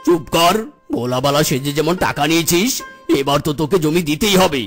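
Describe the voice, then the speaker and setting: A woman's voice: low-pitched, brisk, faint music behind. Woman playing a bossy tiger village headman. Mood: stern. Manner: bossy, commanding. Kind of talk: comedy cartoon dialogue.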